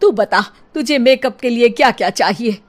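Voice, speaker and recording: A woman voicing a proud, doting middle-aged mother-in-law in a story, chiding in a drawn-out way. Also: fairly high pitch, close to an everyday voice. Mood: urgent.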